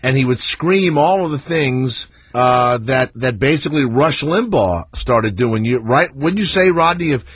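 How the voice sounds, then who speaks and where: A man's voice: mid-range voice, lively intonation, unhurried, few pauses, husky, no echo. A middle-aged man hosting a call-in radio show, joking loosely and rambling with restarts. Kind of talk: casual call-in radio banter. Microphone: studio microphone.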